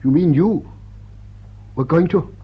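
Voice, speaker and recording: A man's voice: a little high, slow pace, slightly rough, muffled recording, exaggerated voice. A man acting a righteous, stiffly outraged lord in classic stage drama, with a pompous, declamatory manner. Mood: disbelieving.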